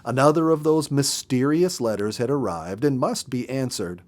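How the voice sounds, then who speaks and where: Guy reading a storybook out loud, mid pitch, expressive, takes his time w dramatic pauses. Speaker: storyteller reading a book aloud. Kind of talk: expressive narrated audiobook reading.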